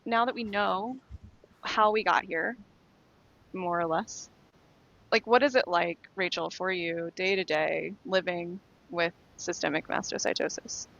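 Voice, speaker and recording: A woman's voice: fairly low pitch, fairly even intonation, measured pace, vocal fry, a bit bright. A woman co-hosting a podcast in a casual, conversational way, on a dry, slightly dull recording. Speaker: podcast co-host.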